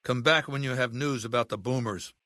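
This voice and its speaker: A man playing an aging, imperious warlord dictator in a video game, with a measured, commanding and menacing manner. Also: medium pitch, bright, forceful tone, dry recording, clean studio sound, natural voice. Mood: cold, commanding. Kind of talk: video game character dialogue.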